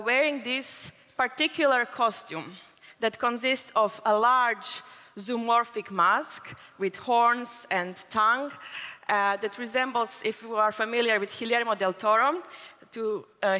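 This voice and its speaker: Bright-voiced woman giving a conference talk. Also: fairly high pitch. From Croatia. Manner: fluent, explanatory, with occasional fillers. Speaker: conference speaker. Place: large conference hall. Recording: hall reverb from a conference stage.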